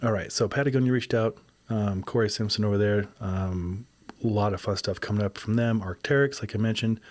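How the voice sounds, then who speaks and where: A man hosting a podcast whose voice is raspy from a windy day of shooting, talking casually in a rambling, upbeat way. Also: fairly low pitch, fairly even intonation, a little fast, a little mellow. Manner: rambling, upbeat, self-correcting. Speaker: podcast host. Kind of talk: casual solo podcast update.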